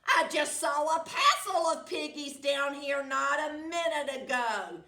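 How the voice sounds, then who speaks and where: A woman voicing a greedy, bossy storybook witch who shouts rude insults. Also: high voice, lively intonation, measured pace, echoey room, pitched above the performer's own voice, exaggerated voice.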